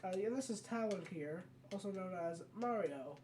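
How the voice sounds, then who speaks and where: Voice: medium pitch, animated, moderate pace, a little mellow. A video game let's player giving casual, rambling commentary and often correcting themselves mid-sentence. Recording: mic at a distance, background noise.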